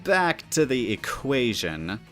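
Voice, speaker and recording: A man plays a nerdy male pony scientist who stays unflustered. His pitch is middling and moves in a lively way as he instructs matter-of-factly in a scripted cartoon science dialogue, with music behind him. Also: slow.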